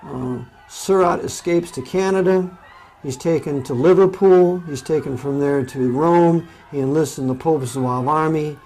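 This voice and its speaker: Male history commentator, slightly high voice, matter-of-fact, unscripted step-by-step telling. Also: measured pace, darkish tone, low-quality recording.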